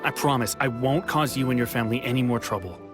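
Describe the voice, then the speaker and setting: A man plays a worried young fugitive who sighs and hesitates, sounding regretful and tired of running. His voice sits at an ordinary male pitch. Game music plays clearly under him. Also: fast, close to an everyday voice. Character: anxious young man hiding from authorities. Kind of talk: video game voice acting.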